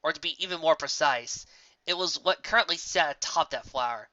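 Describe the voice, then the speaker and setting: A woman's voice: sits low, fairly quick, bright, forceful tone, no echo. A woman reading a scripted story as narrator, expressively, on a slightly dull home recording.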